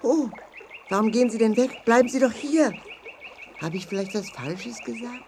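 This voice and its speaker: An actor playing a friendly, sensible and polite leader of travelling companions in a children's audio play, expressive and clearly spoken. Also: medium pitch, normal speed, close to an everyday voice. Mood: polite, curious. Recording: faint noise with birdsong.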